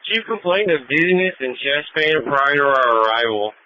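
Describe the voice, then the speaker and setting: A male paramedic giving a steady, matter-of-fact patient report over a two-way radio. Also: medium pitch, normal speed, highs cut off, a crowd in the background. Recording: noisy radio transmission.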